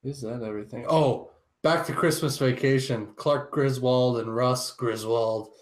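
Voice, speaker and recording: A man's voice: medium pitch, measured pace. A man co-hosting a podcast, chatting informally in a loose, conversational way. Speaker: podcast co-host. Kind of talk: casual chat, personal anecdotes.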